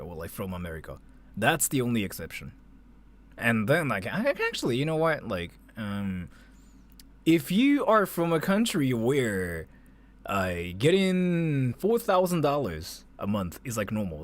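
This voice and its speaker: A low-pitched man hosting a livestream in a loose, conversational way. His intonation swings widely as he rambles through off-the-cuff reactions, dropping "like" into his sentences often. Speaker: livestream host reacting to a video. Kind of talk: casual off-the-cuff reaction commentary.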